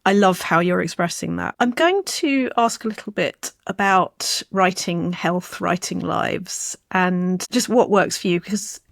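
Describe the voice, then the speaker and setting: A woman's voice: fairly low pitch, a little slow, creaky, few pauses, dry recording, studio quality. A woman hosting an interview in a conversational, encouraging and reflective manner. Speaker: interview host.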